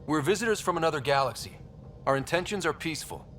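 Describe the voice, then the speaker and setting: A man's voice: on the high side, lively intonation, fast talker. A man playing a young human explorer who commands a spaceship in a video game, plucky and wry. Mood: focused. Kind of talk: video game cutscene acting. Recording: loud music behind the voice.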